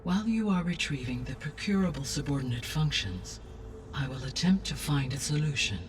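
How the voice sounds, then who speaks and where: A man voicing a calm, analytical artificial intelligence in a video game, speaking with precise, formal, unemotional delivery. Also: on the high side, a little fast, distant mic, slight background noise, natural voice. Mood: measured, analytical. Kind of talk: scripted video game dialogue.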